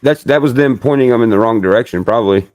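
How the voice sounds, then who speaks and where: A man's voice: mid-range voice, fast talker, darkish tone, dry recording. A man chatting casually in loose, laid-back slang. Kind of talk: casual chat with slangy speech.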